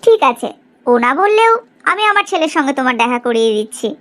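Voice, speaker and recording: A woman voicing a proud, sharp-tongued middle-aged village mother in a cartoon story, in an exaggerated, gossipy way. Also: high-pitched, very animated, fast. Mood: probing, pointed. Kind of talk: Bengali cartoon story dialogue.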